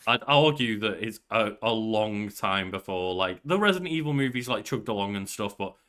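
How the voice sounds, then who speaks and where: A man's voice: fairly low pitch, animated, moderate pace, a bit bright. A young man co-hosting a podcast, chatting casually from a room on a video call. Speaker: podcast co-host. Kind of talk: casual movie chat.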